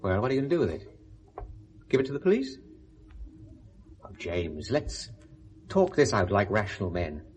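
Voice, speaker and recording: A middle-aged Englishman of outward respectability in a vintage radio play. His pitch moves about a great deal as he protests indignantly, then slides into evasive, reasonable-sounding excuses. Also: big pitch swings, normal speed, pauses often, a little mellow, a little hiss or hum. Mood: pleading, defensive. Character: hypocritical, respectable middle-aged English photographer, self-justifying. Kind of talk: 1970s British radio drama acting.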